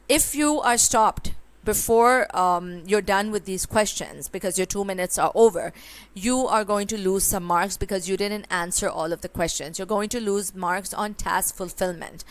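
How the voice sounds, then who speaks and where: A young woman, teaching speaking exam tips in a conversational way with many fillers. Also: mid-range voice. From Pakistan. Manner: conversational, with frequent fillers and rhetorical "right?". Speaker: IELTS speaking tutor. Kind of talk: exam tips lesson with sample answer.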